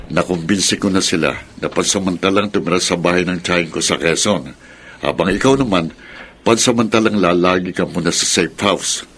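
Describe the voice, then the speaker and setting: A man's voice: sits low, a bit bright, slight background noise. An actor playing a protective, polite and reassuring man who shelters a family in a radio drama. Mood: protective, urgent.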